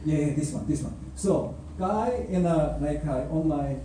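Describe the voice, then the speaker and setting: A man preaching a sermon and telling a personal mission story, speaking deliberately with pauses and filler words. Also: on the high side, expressive, dark tone, far from the mic, a little hiss or hum. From raised by a North Korean father. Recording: echoey hall, speaker away from the mic.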